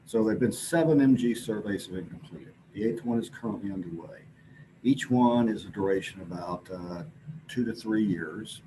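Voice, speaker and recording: A man presenting a technical scientific study at a conference in a loose, halting way with frequent restarts. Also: fairly low pitch, background noise.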